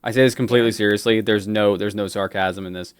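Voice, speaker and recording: A man's voice: fairly low pitch, fairly even intonation, fast talker, clear, resonant tone. A man co-hosting a livestream, chatting enthusiastically and often restarting his sentences. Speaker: co-host and audio drama producer. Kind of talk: casual stream chat.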